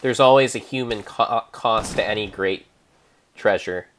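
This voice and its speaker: Give a man with a lowish voice delivering a dramatic narration at a very slow pace. He speaks theatrically and deliberately, breaking sentences into short phrases with pauses. There is faint background noise on the recording. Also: a bit bright. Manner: theatrical, measured, pausing between phrases. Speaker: streamer, narrator. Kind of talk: improvised dramatic storytelling.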